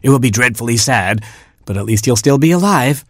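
A man's voice: mid-range voice, lively intonation, a little fast, no room sound, clean studio sound, close to an everyday voice. A man voicing a kindly, solemn beetle bishop making a declaration.

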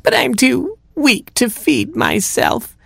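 A performer voicing a sly storybook cat who pretends to be a frail, fussy old grandmother bird, in drawn-out, quavery talk. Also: high voice, very animated, vocal fry, fairly bright tone, breathy edge, no room sound, clean studio sound, pitched above the performer's own voice, heavily acted. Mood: feeble, fussy.

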